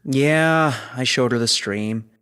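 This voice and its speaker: A man's voice: mid-range voice, slow pace, fairly bright tone, clean tone. Spaceship crewman, guarded yet chummy, conversational sci-fi audio drama delivery. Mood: teasing, chatty. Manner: casual, conversational.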